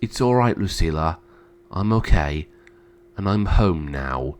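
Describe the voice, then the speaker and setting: A man's voice: fairly low pitch, expressive, normal speed, fairly bright tone. A man playing a noble, defiant hero and protective brother, earnest and dramatic. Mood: soothing. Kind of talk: amateur dramatic storytelling.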